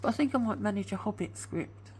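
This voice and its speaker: A woman's voice: medium pitch, animated, fast, darkish tone, a little hiss or hum. A woman chatting casually as a craft vlogger, rambling on with a slightly whiny voice because of a sore tooth. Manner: chatty, rambling, admits to waffling. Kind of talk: casual craft show-and-tell chat.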